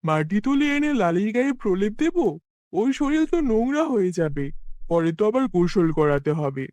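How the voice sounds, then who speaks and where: A man plays a poor cartoon farmer with a very high voice, close and dry. He is kind-hearted and earnest, exclaiming over the cow he loves like family. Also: big pitch swings, normal speed, a little mellow, no room sound. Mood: puzzled. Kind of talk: cartoon fairy-tale voice acting.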